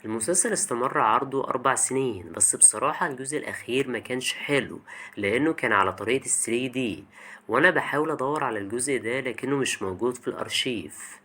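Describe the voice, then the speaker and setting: A young Egyptian man narrates a video essay at a brisk clip. His Egyptian Arabic is colloquial and chatty, and he speaks straight to the listener like a storyteller hosting his own show. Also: medium pitch, animated, pushed and bright.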